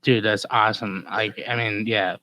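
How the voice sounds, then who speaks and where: A man's voice: on the low side, fairly even intonation, normal speed, pushed and bright, slight background noise. A man with an American Midwestern accent co-hosting a podcast, chatting informally and effusively with some swearing. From Indiana, US. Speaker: podcast co-host. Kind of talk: casual podcast chat.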